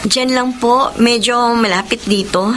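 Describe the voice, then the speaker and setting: A woman's voice: a little high, fairly even intonation, unhurried, background noise, lightly acted. An actress playing a young Filipina mother and wife in a radio drama, hurt and polite to her elders. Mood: subdued. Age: young adult.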